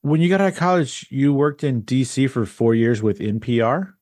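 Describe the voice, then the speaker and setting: A man's voice: mid-range voice, animated, normal speed, darkish tone, dry recording. Podcast host man, dry close sound. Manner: curious, loosely phrased questions with restarts. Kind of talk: interview questions.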